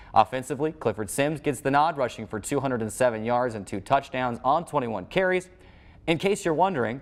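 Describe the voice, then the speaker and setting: American man hosting a sports highlights show. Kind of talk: scripted sports highlights narration.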